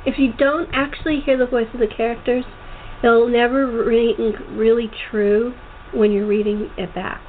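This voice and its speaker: A high-pitched woman chats casually as an interview host. Her manner is conversational and chatty. She comes through a thin, phone-like line with some hiss. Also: level tone, moderate pace. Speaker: podcast host interviewing a guest; writer.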